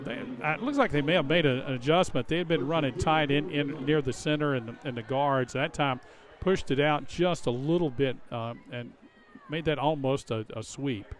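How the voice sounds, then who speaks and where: Man doing chatty color commentary at a football game outdoors, voice a bit on the high side. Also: normal speed, few pauses. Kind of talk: conversational live football analysis.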